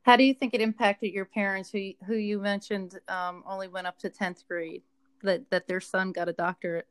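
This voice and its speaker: Woman, interviewer, curious conversational questions, mid-pitched voice. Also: level tone, normal speed, smooth.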